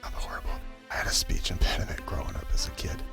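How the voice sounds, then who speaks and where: A man narrating scripture in an ASMR-style Bible audio drama, with a creaky voice and a halting way with names. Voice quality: low, creaky voice. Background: music.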